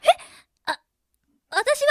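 A woman playing an excitable, self-mocking teenage schoolgirl who narrates her own flustered thoughts in a radio drama. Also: dry recording, clean studio sound. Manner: flustered inner monologue, quick swings. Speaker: narrator and lead character.

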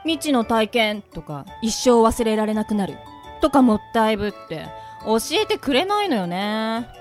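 A woman's voice: fairly high pitch, big pitch swings, normal speed, soft background music. A woman acting a tomboyish, sceptical young friend with a casual, dry manner in a radio drama. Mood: friendly, grumbling.

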